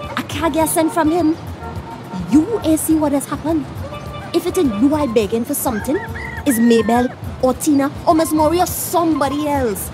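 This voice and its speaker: A woman playing a careworn, harassed little neighbour in a radio drama. Her voice is high and plaintive, with a Trinidadian accent and an emphatic, confiding delivery. Faint music sits behind her. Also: moderate pace, close to an everyday voice. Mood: fed up. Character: small harassed, weary, pleading neighbour and mother. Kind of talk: radio play acting in dialect.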